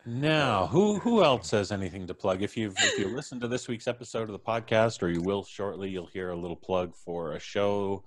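A man's voice: lowish voice, sing-song, moderate pace, hardly any pauses. A middle-aged man hosting a casual live online panel as the podcast's presenter. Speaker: podcast host. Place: indoors, on a video call.

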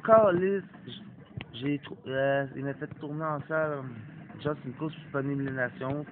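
A man's voice: a little high, moderate pace. Québécois young man with a cold. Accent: Québécois French. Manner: swears often and apologizes for it. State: has a cold. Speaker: gaming YouTuber. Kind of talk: casual gameplay commentary. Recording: phone-like lo-fi, faint hiss.

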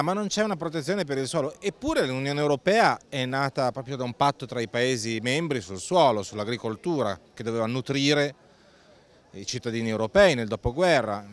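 A man's voice: mid-range voice, fairly bright tone. Middle-aged man, campaign coordinator, earnest on-camera interview, close handheld mic, at a fair stand. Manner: earnest, explanatory, with hesitant fillers. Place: indoor stand at a busy food fair. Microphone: handheld mic close below the face.

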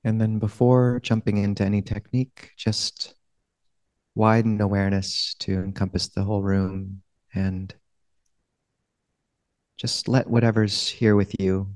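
A man leading a guided meditation as a teacher, speaking gently and unhurriedly with long pauses. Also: lowish voice, flat delivery, no room sound.

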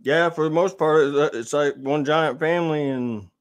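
A man's voice: on the high side, normal speed. A man chatting casually and bluntly, swearing and often repeating his words. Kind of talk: casual chat.

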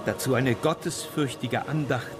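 An actor playing an admiring court companion, telling of a sea journey deliberately, like a storyteller, in a radio drama. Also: male voice, medium pitch, fairly even intonation, over music, close to an everyday voice. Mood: admiring, solemn. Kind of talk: radio drama memoir reading.

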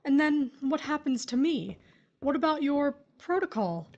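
A woman's voice: sits high, expressive, measured pace, darkish tone, lo-fi sound. A woman playing an exasperated, no-nonsense widow in an audio horror drama, blunt and skeptical. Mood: resigned, impatient.

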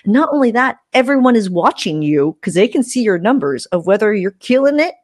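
A woman's voice: mid-range voice, animated, fairly quick, darkish tone, no echo. A woman in her thirties hosting a casual podcast, talking frankly and emphatically into a close mic. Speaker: podcast host. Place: small room at home, video call. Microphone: close desktop mic with furry windscreen.

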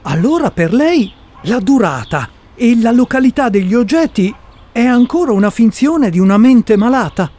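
A man's voice: very high-pitched, very animated, moderate pace, mellow, full character voice. An actor in a radio drama playing a persistent, puzzled man who questions formally and methodically in search of sense. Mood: probing. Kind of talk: Italian radio drama acting.

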